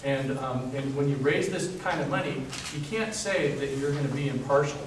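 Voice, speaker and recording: A man speaking earnestly as a candidate in a debate, halting and repeating words. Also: medium pitch, noisy background. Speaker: political candidate. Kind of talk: debate statement. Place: public event hall. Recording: echoey hall from far off.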